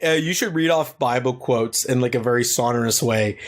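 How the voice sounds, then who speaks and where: A man's voice: medium pitch, brisk. A young man co-hosting a podcast in casual banter, crude and joking, laughing often. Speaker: podcast co-host.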